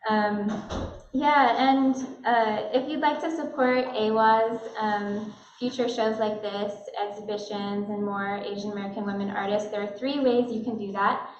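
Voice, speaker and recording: A woman's voice: mid-range voice, steady tone, unhurried. A woman from an arts organization welcomes guests at a gallery opening, chatting casually with many fillers. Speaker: arts organization staff member introducing the event. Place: gallery opening reception, indoors. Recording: echoey, distant, dull sound with a crowd behind. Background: crowd of guests.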